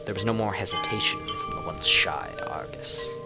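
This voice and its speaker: Low-voiced man giving dramatic storybook narration. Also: normal speed. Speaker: narrator reading lore books. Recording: music behind the voice. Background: music.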